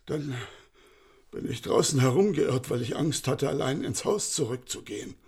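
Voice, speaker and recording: An actor playing a panicky old servant with a husky voice. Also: male voice, mid-range voice, moderate pace, a little mellow, natural voice. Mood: anxious. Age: older. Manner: agitated, tumbling nervous speech with stammers. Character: old frightened male servant, anxious and fussy. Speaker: servant of a baron. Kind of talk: radio play acting.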